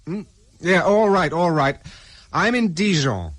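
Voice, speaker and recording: A man's voice: sits high, animated, unhurried, fairly bright tone, exaggerated voice. An actor playing a wary, defiant man on the run, clipped and distrustful, in a radio spy drama. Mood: angry, distrustful.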